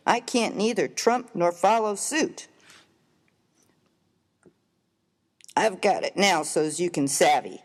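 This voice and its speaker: A man with an American accent playing a rough, slangy, grieving miner of the Old West in a dramatized comic story, with a folksy, emphatic delivery. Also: high voice, lively intonation, unhurried. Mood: earnest, slangy. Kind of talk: dramatized reading of a humorous story. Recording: reverberant hall-like room.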